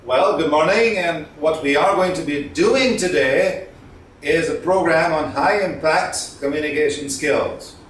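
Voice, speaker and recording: A man giving an instructional talk as a communication trainer, explaining with emphatic stressed words and pauses. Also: fairly high pitch, a bit bright. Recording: echoey room, distant mic, faint noise.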